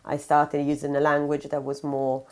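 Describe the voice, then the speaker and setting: Low-pitched Italian-accented woman's voice. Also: completely flat delivery, normal speed. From Italy. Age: 30s. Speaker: interview guest. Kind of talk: explanatory personal interview.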